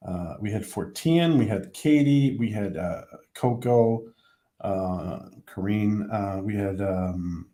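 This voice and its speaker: A man hosting a casual livestream who is losing his voice. He talks haltingly, with many ums and restarts. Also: on the low side, warm tone. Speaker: livestream host.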